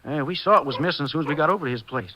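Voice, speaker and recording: An actor playing a friendly, chatty rural neighbor in a 1950s radio drama. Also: male voice, on the high side, very fast, noisy background, natural voice. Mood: easygoing. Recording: muffled old radio broadcast.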